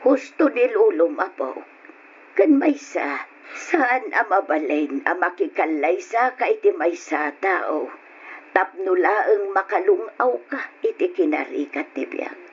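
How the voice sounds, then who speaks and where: An actress playing a caring, solemn old grandmother with an Ilocano accent, gently admonishing in old radio drama style. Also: lowish voice, animated, takes it slowly, husky, exaggerated voice. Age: older. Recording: noisy lo-fi radio drama recording.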